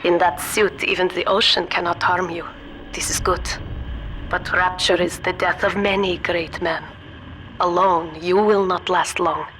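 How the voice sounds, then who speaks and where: A woman's voice: fairly low pitch, little pitch movement, unhurried, noisy background, lightly acted. A woman playing a guarded, worldly scientist ally in a video game, with a German accent and a grave, matter-of-fact manner. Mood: urgent guidance. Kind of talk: video game character dialogue.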